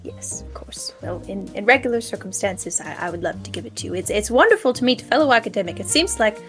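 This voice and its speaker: A woman's voice: medium pitch, lively intonation, fast talker, fairly bright tone, soft background music, lightly acted. A woman voicing a polite, nervous, chatty male scholar of arcane languages, stammering eagerly in a tabletop game. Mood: warm, earnest.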